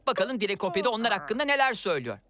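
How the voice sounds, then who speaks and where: A woman voicing a friendly, wise young boy who explains magic in an animated children's cartoon. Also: mid-range voice, racing, natural voice. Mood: explaining. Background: background music.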